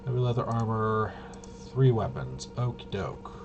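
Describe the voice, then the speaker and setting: A man with a lowish voice talks his way casually through a game walkthrough. He rambles and mutters to himself as he thinks, dropping in playful interjections. There is clear background noise on the recording. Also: sing-song, measured pace, highs cut off. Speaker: host of a tabletop RPG channel.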